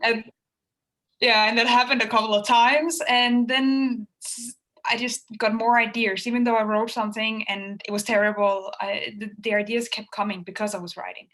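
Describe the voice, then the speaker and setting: Soft-spoken Danish woman with many ums. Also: a little high, little pitch movement, moderate pace. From Denmark. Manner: soft-spoken, frequent ums, laughs lightly. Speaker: guest author. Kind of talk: casual interview answers.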